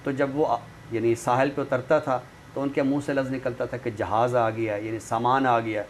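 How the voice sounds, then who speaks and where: A man's voice: mid-range voice, level tone, normal speed. A man explaining things in a didactic, conversational way, often checking that the listener follows. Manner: didactic, checks understanding with tag phrases. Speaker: literary expert guest. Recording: clearly noisy room recording.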